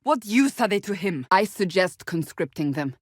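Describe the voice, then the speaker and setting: An actress voicing a stern, devout warrior woman in a video game, with formal, deliberate and blunt, commanding delivery. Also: medium pitch, expressive, no echo, clean studio sound, natural voice. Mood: stern, resolute. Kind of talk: video game voice acting, dramatic dialogue.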